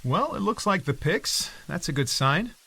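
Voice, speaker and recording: A man's voice: high-pitched, very animated, a little slow, vocal fry, pushed and bright, exaggerated voice. A man with an American accent playing a goofy, easygoing husband in his thirties in a naturalistic script reading. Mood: casual. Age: 30s. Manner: laid-back, joking. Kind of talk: screenplay table-read acting, naturalistic dialogue.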